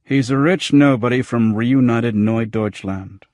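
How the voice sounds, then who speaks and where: A man's voice: mid-range voice, a little slow, darkish tone, no room sound, clean studio sound, voice pushed up, lightly acted. A man voicing a dismissive, cynical police lieutenant with a blunt, matter-of-fact manner in a detective audiobook.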